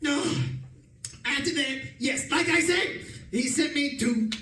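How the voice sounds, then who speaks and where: A man's voice: very high voice, slow pace, pushed and bright, heavily acted. A man playing an exasperated, boastful old-time radio detective who rants theatrically about his misadventures in a comedic drama. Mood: boastful, weary. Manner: theatrical, ranting, emphatic. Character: exasperated, boastful male 1940s-style detective, dramatically rattled time traveler. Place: live stage performance in an echoey room. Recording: echoey room, distant mic, faint noise.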